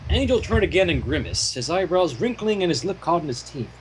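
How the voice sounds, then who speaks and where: A man reading fan fiction aloud in an expressive way, with casual asides. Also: high-pitched, a little fast, low-quality recording. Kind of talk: story narration with casual commentary. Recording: home microphone with clear noise.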